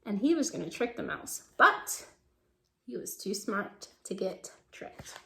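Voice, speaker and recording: A woman reading to young children and discussing the book, expressive and asking questions directly to the listeners. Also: medium pitch, a little slow, vocal fry, roomy sound. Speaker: children's story reader and teacher. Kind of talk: animated read-aloud and discussion for young children.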